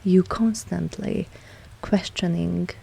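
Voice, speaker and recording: A woman playing a dominant, possessive vampire mistress in an intimate ASMR audio roleplay, cool and seductive, speaking deliberately and often dropping into a whisper. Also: on the low side, fairly even intonation, gravelly, mellow, husky, exaggerated voice. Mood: resigned, cool. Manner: slow, deliberate, commanding, teasing.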